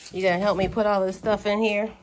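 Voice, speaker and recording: A woman chatting casually behind a handheld camera outdoors on her homestead, laid-back and quick to laugh. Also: medium pitch, flat delivery, slight background noise. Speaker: homestead vlogger filming. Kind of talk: casual vlog chat. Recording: music playing under the voice.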